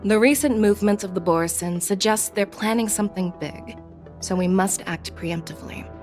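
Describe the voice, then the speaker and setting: A woman's voice: medium pitch, moderate pace, darkish tone, close to an everyday voice. Shrewd female general for a game woman actor, direct and self-assured authority, medium register, light music bed. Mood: firm, confident. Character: confident, shrewd female general, frank and commanding. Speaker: voice actor in a game story scene. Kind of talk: scripted game dialogue. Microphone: close studio mic. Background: background music.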